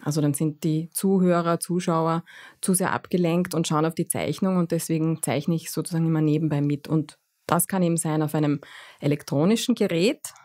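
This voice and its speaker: A middle-aged Viennese woman answers interview questions with a somewhat low voice. She speaks in a thoughtful, hesitant way, filling pauses often as she explains her craft. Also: dark tone. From Vienna, Austria. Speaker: guest, graphic recorder.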